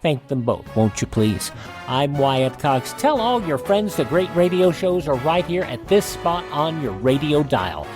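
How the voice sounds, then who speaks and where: A man's voice: a little high, big pitch swings, a little slow, music in the background. An American man hosting a radio show, giving a chatty sign-off with plugs.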